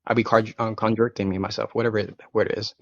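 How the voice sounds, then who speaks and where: A young man speaking English as a second language, with Spanish as his first, talks bluntly and rambles through restarts in a live-stream debate. His voice sits fairly low. The call audio is dry and dull, with a lo-fi muffled band. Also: little pitch movement, very fast, gravelly, dry recording. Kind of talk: casual argumentative chat.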